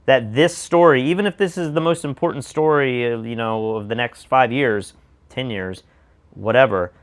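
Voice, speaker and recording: An American man co-hosting a casual discussion show, speaking emphatically with a slightly raspy voice and throwing out rhetorical questions. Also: medium pitch, moderate pace. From United States. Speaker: show co-host.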